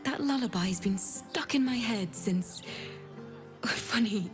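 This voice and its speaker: An actress playing a gentle, forgetful woman in a fantasy video game, speaking absent-mindedly. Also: on the high side, slow pace, over music. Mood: sheepish, vague.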